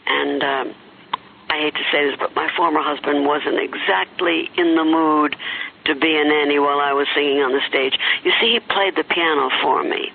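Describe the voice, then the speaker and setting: An older woman, a former singer, looking back on her career in a hesitant interview full of pauses. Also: low-pitched, fairly even intonation, gravelly, phone-quality sound, a little hiss or hum. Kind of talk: reflective interview recollection.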